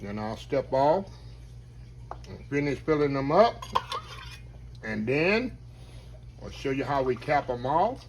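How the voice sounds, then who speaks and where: A man, chatting to viewers as he cooks in his home kitchen, his voice slightly hoarse. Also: mid-range voice, very animated, slow pace, long pauses, a little hiss or hum. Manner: chatty, keeps addressing viewers as y'all. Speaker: home cook. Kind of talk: casual cooking demonstration.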